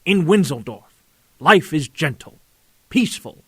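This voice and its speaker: A man playing a portentous narrator, delivering scripted audio drama scene-setting in deliberate phrases. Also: on the high side, very animated, bright, forceful tone, slightly rough, no room sound, exaggerated voice. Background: music.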